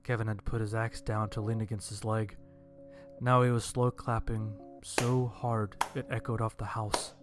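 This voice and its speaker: A man narrates a scripted story in a lowish, very even voice with little rise or fall. Soft music plays faintly behind him. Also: moderate pace.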